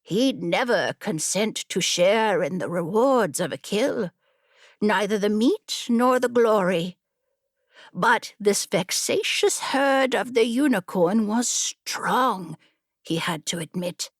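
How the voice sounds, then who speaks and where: A woman's voice: mid-range voice, lively intonation, slow, dry recording, clean studio sound. A woman playing a storyteller who holds children rapt at a campfire, theatrical and emphatic, in an audiobook. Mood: dramatic.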